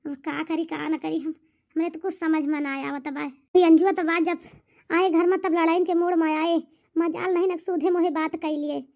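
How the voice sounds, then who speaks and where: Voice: very high-pitched, flat delivery, normal speed, gravelly, a little mellow. A performer with an Awadhi accent voicing a plaintive, blunt, regretful elderly village mother-in-law in a rambling, lamenting cartoon comedy style. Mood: troubled, complaining.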